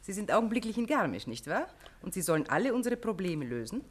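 A poised countess, old german radio play, gracious but sceptical, very lively intonation. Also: female voice, mid-range voice, quick pace.

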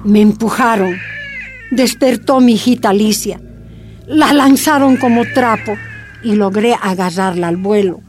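A woman's voice: mid-range voice, measured pace, a little mellow, soft background music, close to an everyday voice. An actress playing an earnest, pained Bolivian mother giving testimony in a radio drama. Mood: distressed.

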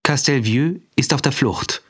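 A man's voice: medium pitch, unhurried, husky. A man announcing scripted scene headings in a radio drama.

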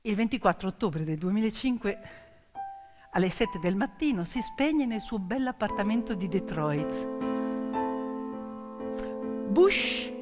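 A woman performing dramatic theatrical storytelling in an emphatic, declamatory manner, with deliberate pauses and repetitions. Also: mid-range voice, expressive. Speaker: stage storyteller. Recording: piano music behind the voice. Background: piano accompaniment.

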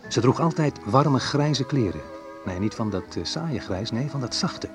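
A man's voice: medium pitch, expressive, unhurried, over music, close to an everyday voice. An actor playing a wistful, reflective man who recalls awkward teenage love in an intimate radio play monologue.

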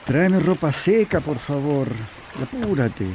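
Noisy recording, guy playing a grumpy chilean husband in a radio play, slightly high voice, curt, tired and stubborn. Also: lively intonation, unhurried. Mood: tired, subdued. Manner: curt, grumbling. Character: stubborn, gruff, weary, disillusioned husband. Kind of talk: radio drama acting.

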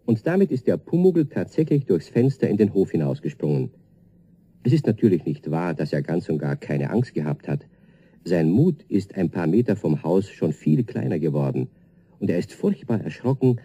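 Children's story narrator, male, husky, expressive melody, addresses young listeners directly. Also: deep voice.

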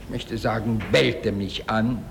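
A male survivor on the witness stand in a radio drama. He speaks slowly and precisely in a measured, formal way, with pauses between phrases. The hall rings around him, with faint noise underneath. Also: fairly low pitch, steady tone, creaky. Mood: tense recollection. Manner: slow, deliberate, formal, pausing between phrases. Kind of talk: halting courtroom testimony in a radio play.

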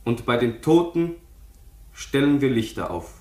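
A man's voice: mid-range voice, big pitch swings, a little slow, a little mellow. An actor in a German radio play, playing a proud, idealistic young king who proclaims solemnly. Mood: proud, proclaiming. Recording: echoey room, faint music behind.

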